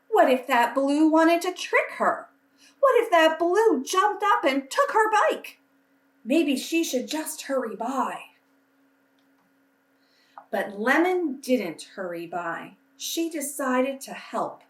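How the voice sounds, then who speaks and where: A woman reading a children's story aloud expressively, with deliberate phrasing meant for young listeners. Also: high-pitched, animated, fairly bright tone. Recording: roomy echo, distant microphone.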